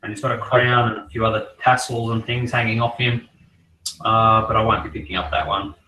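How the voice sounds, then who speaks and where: A croaky-voiced man fighting off a cold talks casually and at length, his tone staying flat and level. He sits a little back from the microphone in an echoey room. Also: fairly low pitch, brisk, far from the mic, a little hiss or hum. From Australia. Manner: relaxed, rambling collector talk. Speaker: co-host. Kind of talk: casual chat.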